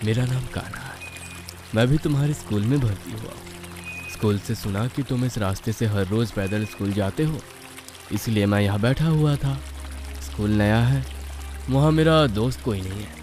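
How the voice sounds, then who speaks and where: A man voicing a calm, assured, protective divine schoolboy in an animated bedtime story, speaking without hurry. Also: on the low side, normal speed, over music, lightly acted. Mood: calm, friendly.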